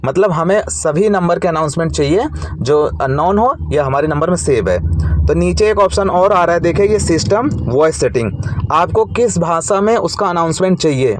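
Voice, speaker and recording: An Indian man hosting an informal tech tutorial, explaining each step in a repetitive way. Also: a little high.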